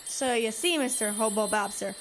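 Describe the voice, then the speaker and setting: A young performer playing a scrappy, quick-witted boy treasure hunter in an audio drama. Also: mid-range voice, fairly bright tone, a little hiss or hum, close to an everyday voice, poor audio. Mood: cocky. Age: child or teen.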